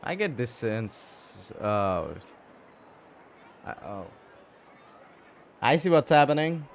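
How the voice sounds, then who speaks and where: A man's voice: medium pitch, expressive, takes it slowly. A man giving casual, jokey, rambling playthrough commentary, reacting aloud to a video game. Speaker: gamer commentator. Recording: game music behind voice. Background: game music.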